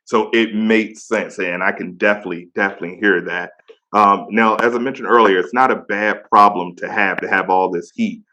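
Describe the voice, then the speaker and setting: A man in his thirties with a deep voice, hosting a casual interview and praising his guest with slang. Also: fairly even intonation, brisk, pushed and bright. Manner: enthusiastic, slang-heavy praise. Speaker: podcast host.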